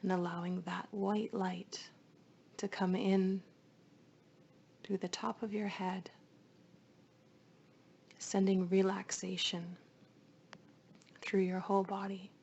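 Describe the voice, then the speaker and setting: A woman leading a guided meditation in a soothing, hushed voice, with phrases often trailing into breath. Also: medium pitch, little pitch movement, takes it slowly, vocal fry, long pauses, muffled recording.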